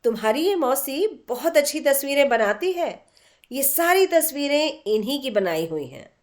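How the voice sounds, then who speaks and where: Woman playing storybook mom, teasing and a bit bossy, affectionate, lively high voice. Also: normal speed. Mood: friendly. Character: warm, teasing mother, playfully commanding old friend.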